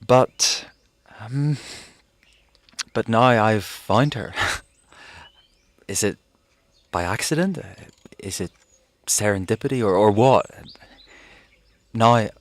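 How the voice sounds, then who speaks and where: An actor playing a haunted fugitive physicist in a wartime audio drama, musing hesitantly as if recording a diary. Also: male voice, lowish voice, animated, slow, long pauses, dry recording. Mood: wistful, searching. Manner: hesitant, musing, with sighs and broken phrases. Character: haunted, rambling fugitive physicist, man living through a war.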